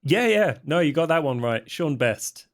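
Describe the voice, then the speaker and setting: A man's voice: a little high, lively intonation, normal speed, close to an everyday voice. A man voicing a cheeky, easygoing London hustler in a tabletop game, chummy and charming, with a London accent. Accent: London English.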